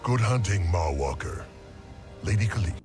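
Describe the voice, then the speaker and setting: Solemn warrior, played by a man, deep, lively intonation, formal, over score. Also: normal speed, over loud music, close to an everyday voice. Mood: earnest. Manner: formal and earnest.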